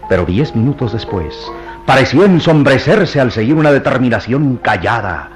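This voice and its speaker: A man narrating a mystery radio drama in a grave, deliberate, literary style. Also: mid-range voice, very animated, soft background music, close to an everyday voice. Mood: solemn, dramatic. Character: solemn male narrator of a mystery serial.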